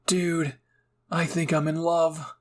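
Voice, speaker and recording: A man's voice: a little high, flat delivery, takes it slowly, pitched above the performer's own voice. A man voicing a cheerful, earnest young male fantasy adventurer in an anime fan roleplay, friendly and enthusiastic. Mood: smitten.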